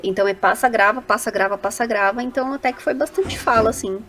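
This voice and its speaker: A woman's voice: a little high, quick pace, fairly bright tone, slight background noise. A Brazilian woman speaking casually as a voice actor guest in a podcast interview, animatedly telling stories and acting out little quoted remarks.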